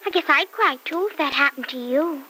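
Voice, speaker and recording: A woman's voice: high-pitched, a little fast, sharp tone, low-quality recording. An actress playing an earnest, polite little girl with a simple childlike manner in an old-time radio serial. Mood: earnest, sad. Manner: simple, childlike, matter-of-fact.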